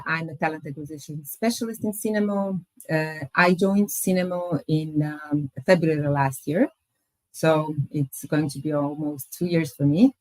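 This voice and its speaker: A woman presents her employer to a webinar audience, speaking in a low register. She hesitates often, filling her pauses with small sounds. Her voice comes through a video call, dry and close with no room sound. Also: dry recording, rough recording. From Croatia. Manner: hesitant, many pauses and fillers. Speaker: company recruiter presenting.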